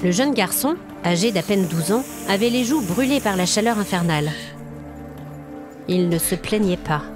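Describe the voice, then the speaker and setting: A woman's voice: mid-range voice, animated, normal speed, over music. A woman storyteller narrating a scripted audio story in a dramatic, atmospheric manner. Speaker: storyteller, podcast host.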